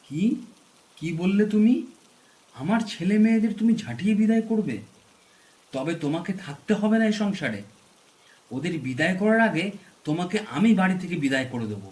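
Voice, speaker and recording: A man's voice: sits high, lively intonation, moderate pace, long pauses, darkish tone. A man voicing a poor village father in a cartoon folk tale, reproachful and protective of his children. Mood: indignant. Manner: reproachful, stern.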